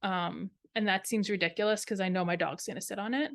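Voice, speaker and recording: A woman's voice: medium pitch, brisk, vocal fry, fairly bright tone. A woman co-hosting a craft podcast, talking casually in a show-and-tell style and rambling as she thinks aloud. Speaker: knitting podcast co-host.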